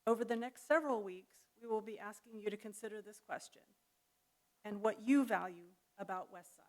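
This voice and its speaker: A woman's voice: medium pitch, fairly quick, low-quality recording. A woman giving a prepared address to a church congregation. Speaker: congregation speaker.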